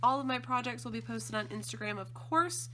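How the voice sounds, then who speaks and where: A woman with a slightly croaky voice from allergies, chatting casually and gushing with enthusiasm as she unboxes craft supplies. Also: fairly high pitch, brisk, pushed and bright, slight background noise. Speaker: scrapbooking design team member.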